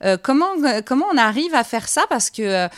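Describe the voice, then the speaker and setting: A woman's voice: on the high side, expressive, brisk, no echo, clean studio sound. A woman interviewer asking long, chatty questions that stumble and restart.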